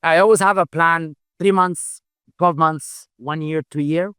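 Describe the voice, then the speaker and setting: A middle-aged man, a company founder, speaking English as a second language in a halting interview answer style, full of fillers and repeated words. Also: on the high side, fairly even intonation, bright, forceful tone, smooth, dry recording, studio quality. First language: not English. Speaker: founder and CEO, podcast guest.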